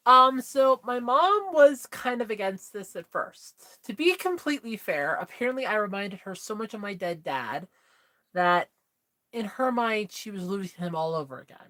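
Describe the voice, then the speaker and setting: A woman's voice: mid-range voice, pushed and bright. A woman in her thirties chatting candidly with viewers on a livestream, telling a personal story in a rambling way full of fillers. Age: 30s. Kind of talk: casual chat with viewers.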